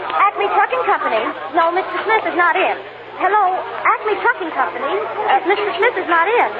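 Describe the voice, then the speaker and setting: A woman's voice: very high voice, animated, normal speed. An actress playing an eager, sweet young woman who hopes for a film career, in a 1940s radio drama. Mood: businesslike. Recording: tinny, very noisy old radio recording, crowd behind.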